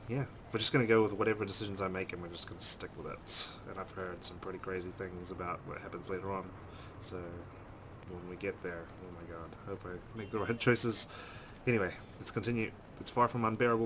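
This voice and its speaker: A man's voice: deep voice, a bit bright, a little hiss or hum, rough recording. A man hosting a let's play, reading story text dramatically and adding casual commentary.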